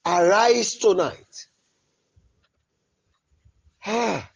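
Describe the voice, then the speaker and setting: A man leading an impassioned, pleading prayer, swinging between loud cries and low murmurs. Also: extremely slow, gravelly, breathy edge. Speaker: prayer leader. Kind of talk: fervent spoken prayer.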